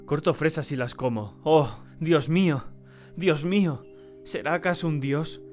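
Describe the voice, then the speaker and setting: A man's voice: fairly high pitch, darkish tone, faint music behind, natural voice. Solemn, tormented male diarist voiced by an actor. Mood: desperate pleading. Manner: solemn, deliberate, theatrical. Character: tormented, terrified man confiding in his diary. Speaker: audiobook narrator and actor. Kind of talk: dramatic first-person audiobook narration.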